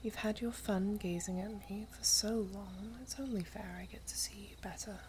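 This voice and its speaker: A woman playing an ageless, gently teasing, wistful elven maiden in an ASMR roleplay, speaking softly and half-whispered with archaic, unhurried phrasing. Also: mid-range voice, fairly even intonation, a little hiss or hum, natural voice. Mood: teasing, curious. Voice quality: soft, breathy, half-whispered. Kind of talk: ASMR roleplay, slow intimate storytelling.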